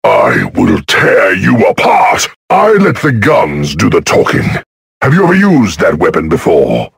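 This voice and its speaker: Very raspy veteran robot soldier. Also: male voice, low voice, wildly swooping pitch, unhurried, a bit bright, dry recording, heavily acted. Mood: fierce, gruff. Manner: tough, blunt. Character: gruff, battle-hardened male robot soldier, weapons veteran. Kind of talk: video game voice acting.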